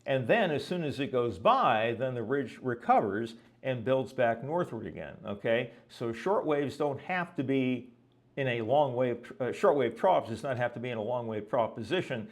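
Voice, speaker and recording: A man's voice: mid-range voice, animated, normal speed. Male educator, mid-range voice, conversational and didactic, explaining step by step with frequent check-ins. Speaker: weather educator and presenter. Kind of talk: explanatory lecture with visual examples.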